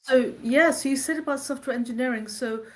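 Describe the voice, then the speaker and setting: A woman's voice: high-pitched, brisk. A woman hosting an interview webinar, chatty and upbeat as she prompts her guest with questions. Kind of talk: casual interview.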